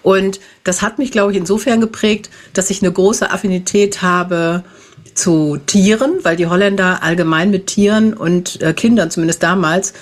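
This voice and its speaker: A woman's voice: mid-range voice, steady tone, normal speed. A woman in her fifties, a guest on an interview podcast, talking in a chatty, easygoing way and often tagging her sentences with "ne?". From Germany, grew up in the Netherlands. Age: 50s. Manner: chatty, warm, frequent "ne?" tag questions, laughs easily. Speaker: guest, animal communicator. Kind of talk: casual interview chat.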